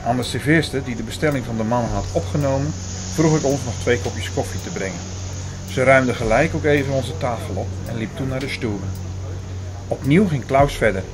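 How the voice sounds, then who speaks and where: A man narrating an audio drama in the first person, as an attentive, sceptical storyteller in a measured, bookish manner. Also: medium pitch, background noise, close to an everyday voice. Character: Hugo, attentive, sceptical male listener and storyteller. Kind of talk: scripted first-person narration and reading aloud. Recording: music under the voice.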